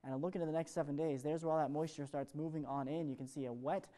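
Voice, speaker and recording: A man's voice: fairly high pitch, steady tone, quick pace, warm tone. An American man presenting an ad-libbed television weather forecast in an upbeat, chatty way.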